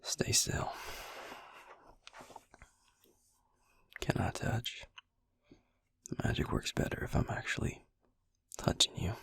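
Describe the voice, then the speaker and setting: A man playing a gentle, wry vampire healer in an intimate ASMR roleplay, speaking slowly and soothingly in a breathy, husky near-whisper. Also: close to an everyday voice. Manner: slow, soothing, folksy endearments.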